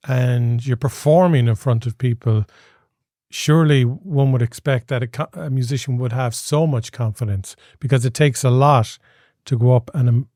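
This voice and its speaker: A man's voice: medium pitch, warm tone, no echo, studio quality. Curious male podcast host. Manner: curious, probing questioner. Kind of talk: interview questions.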